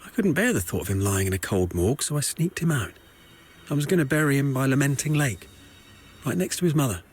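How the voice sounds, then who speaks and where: A rank-and-file male soldier from a video game, mid-pitched and a little husky. He talks in a confiding, conversational way, nervy and evasive, like someone telling secrets he should keep. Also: lively intonation, brisk. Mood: confiding, somber. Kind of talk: video game character dialogue.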